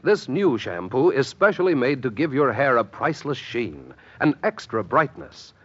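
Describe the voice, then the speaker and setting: A man's voice: mid-range voice, big pitch swings, moderate pace, husky. A man reading a scripted 1950s radio advertisement as the announcer. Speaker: commercial announcer. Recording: muffled vintage radio recording.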